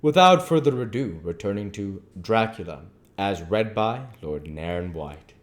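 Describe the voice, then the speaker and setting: A man's voice: fairly low pitch, expressive, a little slow. A man reading a classic novel aloud as an amateur narrator, stumbling and repeating phrases as he goes. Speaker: amateur audiobook reader.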